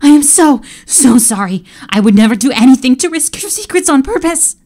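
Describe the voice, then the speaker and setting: A woman voicing an eager, fearful young male servant who cries out and begs in a high, strained voice. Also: expressive, brisk, dark tone. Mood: pleading. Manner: high-pitched pleading and crying out.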